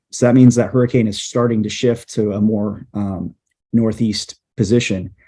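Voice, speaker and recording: Guest, male storm chaser, low mellow voice, animated storytelling with lots of ums. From USA. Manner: animated, hesitant fillers, quickens at times. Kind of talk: casual interview storytelling.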